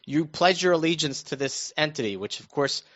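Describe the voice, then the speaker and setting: A muffled, lo-fi recording of an American man in middle age with a slightly high voice. He chats casually as a show panelist, recounting things in a halting way with repeated restarts. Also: lively intonation, fairly bright tone. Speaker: radio show panelist recounting his own news. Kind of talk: casual conversational storytelling. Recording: dull, lo-fi muffled sound.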